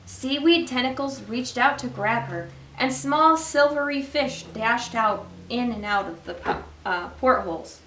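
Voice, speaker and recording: A woman reading a children's storybook aloud as a library story time host, with expressive, deliberate phrasing for young listeners. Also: a little high, slight background noise. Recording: roomy echo, distant microphone.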